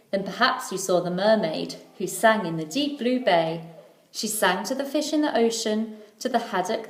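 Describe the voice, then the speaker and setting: A woman reading a children's storybook aloud like a teacher, in measured, rhythmic phrases. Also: medium pitch. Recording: echoey room.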